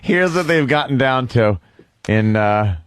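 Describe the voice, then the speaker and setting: A man hosting a radio show in casual, joking banter, with a halting, wry delivery. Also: medium pitch, animated, clean tone.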